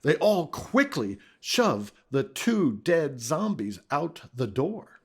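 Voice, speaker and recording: Slow, husky male radio play narrator. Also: on the high side, sing-song.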